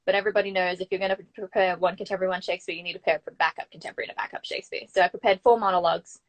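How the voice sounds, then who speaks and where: A woman's voice: on the low side, steady tone, very fast. A young adult woman with an Australian accent, talking in an informal, anecdotal way as the guest in a casual interview. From Brisbane, Australia. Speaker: guest, actor and acting student.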